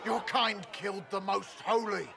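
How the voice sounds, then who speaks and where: An actor playing an angry, accusing templar soldier in a video game. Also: male voice, high-pitched, steady tone, a little slow, gravelly. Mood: furious. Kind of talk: video game cutscene acting. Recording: noisy crowd scene.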